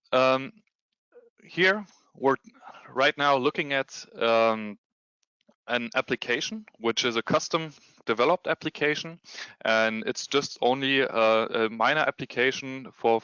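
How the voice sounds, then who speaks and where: German-accented male presenter on a video call, halting and full of fillers, bright crisp tone. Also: medium pitch, dry recording, lo-fi sound. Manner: hesitant, many ums and restarts. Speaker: security consultant presenting a demo. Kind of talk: webinar presentation with screen share.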